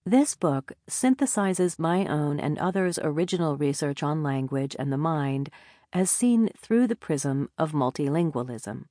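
A woman narrating a nonfiction audiobook in a measured, deliberate reading style on a close studio mic. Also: low-pitched, lively intonation, dark tone, no echo.

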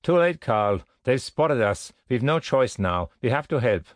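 A man acting out a weary, gruff army sergeant. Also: medium pitch, big pitch swings, unhurried, no echo, clean studio sound. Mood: resigned. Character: weary, gruff but helpful German army patrol sergeant, male.

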